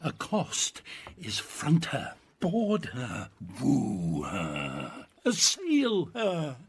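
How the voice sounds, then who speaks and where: An actor playing a boisterous, hard-drinking roguish uncle in a Shakespearean radio comedy, with a gravelly, teasing, blustering delivery. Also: male voice, mid-range voice, huge pitch swings, extremely slow, full character voice. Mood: rowdy teasing. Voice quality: gravelly, husky.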